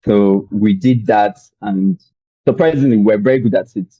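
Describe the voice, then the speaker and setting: A young man from Nigeria with a Nigerian accent, telling his story as a podcast guest in a relaxed, rambling way with many fillers. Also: on the low side, normal speed, slightly rough, no echo, phone-quality sound. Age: young adult. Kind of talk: conversational storytelling.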